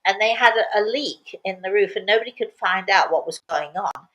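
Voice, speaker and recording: A woman's voice: mid-range voice, normal speed, fairly bright tone, poor audio. Older woman, emphatic casual storyteller. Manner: emphatic, repeats words for emphasis. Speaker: spiritual medium telling stories and answering questions.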